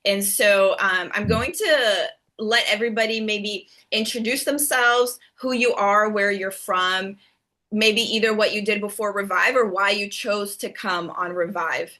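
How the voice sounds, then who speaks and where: A woman hosting a casual group interview over a video call, speaking informally and haltingly. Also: mid-range voice, steady tone, bright, forceful tone.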